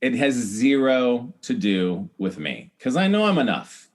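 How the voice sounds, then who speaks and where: A man's voice: medium pitch, huge pitch swings, a little slow. Earnest man, casual podcast guest. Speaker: podcast guest, celebrity hair stylist. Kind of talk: casual conversational interview.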